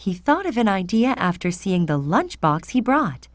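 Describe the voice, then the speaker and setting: A woman narrating a fairy tale for children in an expressive, deliberate read-aloud manner. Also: medium pitch, no echo. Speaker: storyteller.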